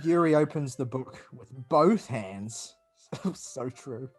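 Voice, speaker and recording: A man's voice: medium pitch, takes it slowly, soft background music. A man, casually reading game text aloud and joking with friends on a podcast. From New Zealand. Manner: relaxed, joking, laughs between lines. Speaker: let's play co-host reading the game text.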